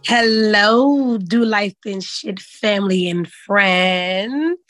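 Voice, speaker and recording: Hoarse woman hosting a chat show. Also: mid-range voice, drawn-out words, fairly bright tone, dry recording, soft background music. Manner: folksy and candid, says "child". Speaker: podcast host. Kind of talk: casual chat.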